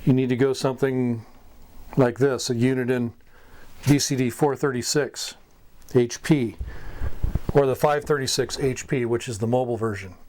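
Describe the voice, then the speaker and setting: A man hosting an informal hobby lesson, explaining step by step in a halting way and repeating words as he goes. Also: mid-range voice, steady tone, darkish tone, slight background noise. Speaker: radio hobbyist host giving beginner advice. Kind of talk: informal instructional talk.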